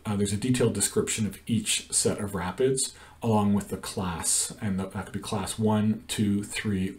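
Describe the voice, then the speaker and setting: A man's voice: lowish voice, a little mellow, recorded from a distance. A middle-aged man explains a canoe route over a shared screen, talking in a hesitant way with many ums and uhs. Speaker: canoe trip guide. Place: indoor room.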